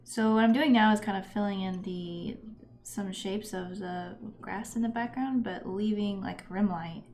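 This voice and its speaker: A woman's voice: mid-range voice, fairly even intonation, unhurried, darkish tone, soft background music. A woman artist talking casually on a live drawing stream, thinking aloud in trailing, half-finished fragments.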